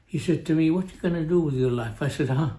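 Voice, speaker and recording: An older man with an Arabic accent telling a family story in a halting way, full of pauses and restarts. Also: on the high side, mellow. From Jordan. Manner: halting, many uhs and restarts, chuckles at own story. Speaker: family show guest, father. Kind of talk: storytelling, casual family chat.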